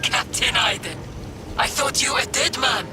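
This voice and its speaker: A man playing a gruff army major in a video game, forceful and curt. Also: very high voice, expressive, unhurried, background noise. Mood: resolute. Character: gruff army major barking over comms. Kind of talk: dramatic video game acting. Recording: music behind the dialogue.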